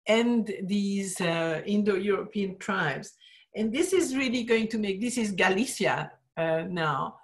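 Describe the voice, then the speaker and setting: A woman of middle pitch lecturing over a slideshow, unhurried and explanatory. She pauses often on "uh" while searching for words, and her voice drops away at the ends of phrases. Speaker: art history lecturer. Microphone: screen-share talk, webcam in corner.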